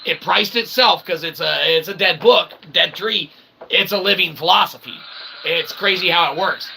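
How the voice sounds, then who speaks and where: A man's voice: sits high, normal speed, vocal fry, pushed and bright, slight background noise, rough recording. A man delivering a casual, indignant rant to viewers as an independent author and host, emphatic and sweary, with wordy mock-formal phrasing and repeated tag questions. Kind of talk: casual ranting monologue to viewers.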